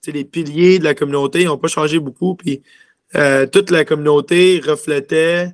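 A man's voice: a little high, fairly even intonation, normal speed, no room sound, clean studio sound. A young man from Quebec with a Quebec French accent, chatting casually as an interview guest and rambling with lots of filler words. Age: young adult. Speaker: interview guest, junior hockey player.

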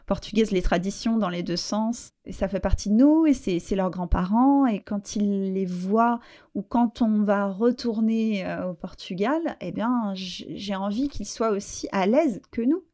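Middle-aged french woman, guest on an interview, mellow voice, lots of euh and restarts, thoughtful. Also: mid-range voice. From France. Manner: reflective, halting with fillers and restarts. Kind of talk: personal conversational interview.

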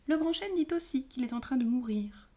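A woman voicing a sad, gentle little fairy who speaks in a raised, mournful voice. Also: high voice, lively intonation, brisk, voice pushed up.

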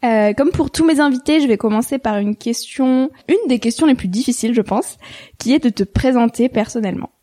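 A woman's voice: fairly high pitch, a little fast, warm tone. A woman hosting a podcast interview who introduces her guest and asks questions in an upbeat, enthusiastic way. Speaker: podcast host.

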